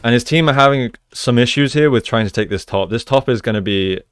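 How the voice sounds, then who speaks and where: A man's voice: mid-range voice, a little fast, a bit bright, clean tone, dry recording. A man giving conversational, explanatory commentary on video game play, as a coach breaking down each move. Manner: explanatory, conversational, often checks with "right?". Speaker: gaming coach, analyst.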